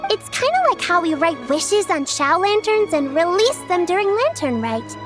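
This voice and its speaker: A woman's voice: very high-pitched, big pitch swings, moderate pace. A woman voicing a caring, sensible little girl in a video game, girlish and reassuring as she explains things. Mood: bright, informative. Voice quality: youthful, girlish. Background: background music.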